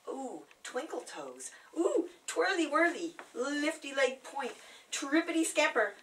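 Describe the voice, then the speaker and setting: A woman's voice: high voice, very animated, slow pace, a bit bright, recorded from a distance, a little hiss or hum. A woman reciting her own poem in a dramatic, playful way, savouring the words. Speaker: poet reading own work. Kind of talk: theatrical poetry recital.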